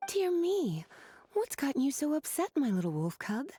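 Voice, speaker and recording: A woman's voice: sits high, sing-song, moderate pace, dry recording, clean studio sound. A woman playing a languid, doting witch teacher in a video game, coaxing and playful. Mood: doting, soothing. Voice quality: soft, breathy, mellow. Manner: coaxing, playful, drawling pet names.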